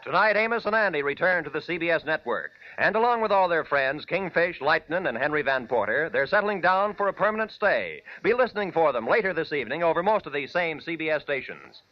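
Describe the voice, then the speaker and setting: A man's voice: fairly high pitch, animated, brisk, few pauses. A man with an American accent announcing formally from a script as a 1940s radio network announcer. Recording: dull vintage radio broadcast with music.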